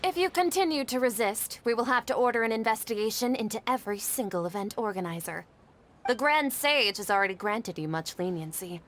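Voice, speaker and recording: A woman's voice: fairly high pitch, expressive, fairly quick, a bit bright. A woman voicing a stern, officious scholar official in a video game, formal and threatening. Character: stern, officious scholar authority issuing warnings.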